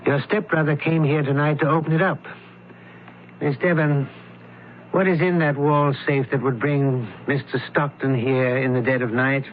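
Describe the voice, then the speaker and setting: Male actor as fussy, clipped, commanding scholar-detective, slightly high voice, radio mystery, dull vintage broadcast sound. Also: steady tone, moderate pace, lightly acted. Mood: probing. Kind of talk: radio mystery drama acting. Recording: muffled, faintly noisy old radio broadcast.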